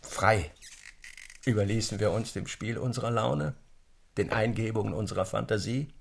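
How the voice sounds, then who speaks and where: Gravelly, wistful man of letters. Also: lowish voice, normal speed, slight background noise. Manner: solemn, reflective. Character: wistful, earnest literary friend giving a toast. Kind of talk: radio drama monologue.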